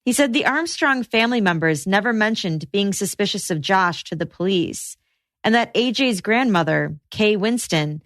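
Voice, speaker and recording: A woman hosting a podcast, reading scripted true crime narration in a measured, matter-of-fact way. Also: medium pitch, animated, fairly bright tone, no room sound.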